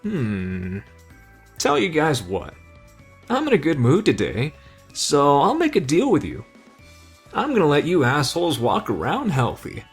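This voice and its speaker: A man's voice: fairly high pitch, wildly swooping pitch, unhurried, pauses often, breathy edge, faint music behind, full character voice. A man playing an easygoing, friendly but tough teenage delinquent in an anime roleplay, casual and slangy. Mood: cool threatening. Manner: casual, slangy, addresses listener as buddy. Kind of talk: anime fan ASMR roleplay.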